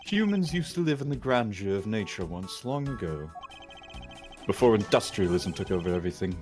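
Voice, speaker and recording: A man playing a dry, sardonic prosecutor in a video game fan dub, speaking in a measured, formal, deadpan way. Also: lowish voice, soft background music. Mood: solemn.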